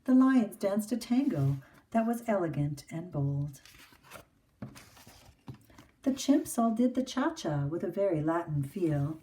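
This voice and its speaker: Low-voiced woman reading a picture book aloud. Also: very animated, unhurried, pauses often, warm tone, recorded from a distance, poor audio. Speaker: teacher reading aloud to her class.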